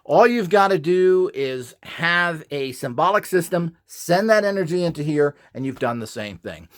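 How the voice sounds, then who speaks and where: A man's voice: a little high, lively intonation, normal speed. A middle-aged or older man giving an informal, off-the-cuff instructional talk in a blunt, opinionated, emphatic and rambling way. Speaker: instructor and video presenter.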